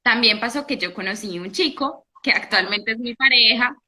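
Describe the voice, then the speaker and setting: A young woman from Italy, a guest in a casual interview, telling her story in an animated way with many restarts. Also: fairly high pitch, moderate pace. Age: young adult.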